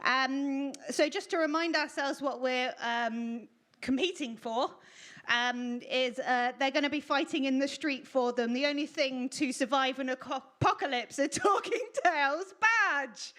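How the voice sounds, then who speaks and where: A woman with a high voice presents at a live storytelling event. She reads out entries and announces in an informal style, with a giggly, jokey and self-deprecating manner. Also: a little slow, a bit bright. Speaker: presenter announcing writing contest winners.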